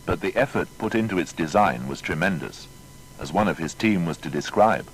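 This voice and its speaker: A man's voice: lively intonation, moderate pace, low-quality recording. A man narrating a scripted biographical documentary in a formal, dramatic storytelling manner. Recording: faint noise and low rumble.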